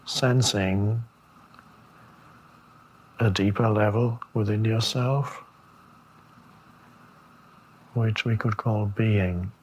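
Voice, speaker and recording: A man giving a meditation talk as a spiritual teacher, speaking contemplatively with long pauses and gentle questions. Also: lowish voice, fairly even intonation, slight background noise.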